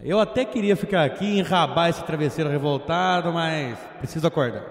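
A man's voice: a little high, brisk, natural voice. A man playing a grumpy, crude dog hero in a comic audio drama, blunt and dismissive. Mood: irritated. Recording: echoey hall reverb.

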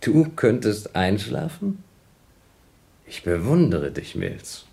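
A man's voice: fairly low pitch, huge pitch swings, takes it slowly, vocal fry, mellow, exaggerated voice. A man playing a restless, cynical astronaut in a radio drama, with a sardonic, needling, conversational manner. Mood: dry, needling. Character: restless, cynical male astronaut, slyly persuasive.

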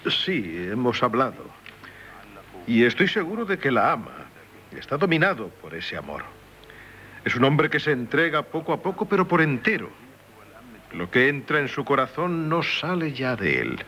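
Bright-voiced, animated courteous prince. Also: male voice, medium pitch, moderate pace. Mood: earnest. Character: courteous, worldly aristocratic prince, trusted family confidant. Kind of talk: 1970s Spanish radio drama acting. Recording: faintly noisy 1970s radio recording.

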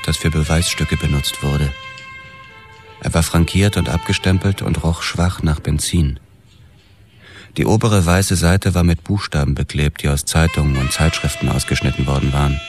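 An actor playing a hard-boiled police detective who narrates his own story in a noir radio drama. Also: very deep voice. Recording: music under the voice. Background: music.

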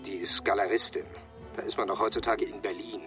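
An actor playing an evasive, self-important husband with a brusque manner in a radio drama. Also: male voice, lowish voice, fairly even intonation, a little fast, music in the background, close to an everyday voice. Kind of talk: German radio drama dialogue.

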